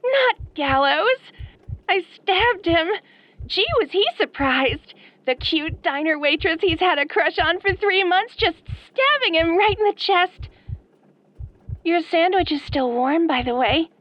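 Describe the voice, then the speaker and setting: A woman acting as an obsessed young female fan turned killer in a horror audio roleplay, soft-spoken, girlish and pouty, with sweet menace. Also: extremely high pitch, expressive, measured pace, no echo, highs cut off. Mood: cheerful menace. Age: young adult.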